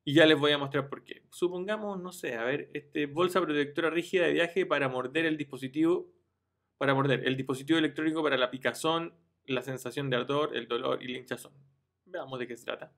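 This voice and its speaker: A man in his thirties walks viewers through a screen-share tutorial, his voice sitting a touch high for a man. He talks informally and directly to the audience, checks in with them often, and restarts his phrases as he goes. He speaks from a room at home into a webcam. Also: steady tone, a little fast, a bit bright. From Chile. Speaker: online business youtuber.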